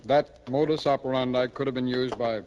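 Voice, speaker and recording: An actor playing a shrewd, weary police captain in 1950s radio drama, gruff, deliberate and plain-spoken. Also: male voice, medium pitch, fairly even intonation, darkish tone, highs cut off, lightly acted. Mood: matter-of-fact. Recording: old radio recording, background noise.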